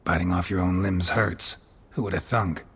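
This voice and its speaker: A sardonic, weathered-sounding monster hunter in a fantasy game. His voice is low and heavily husky, almost all gravel. He speaks in terse, deadpan remarks with dry detachment. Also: moderate pace, exaggerated voice. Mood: dry sarcasm. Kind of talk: understated video game acting.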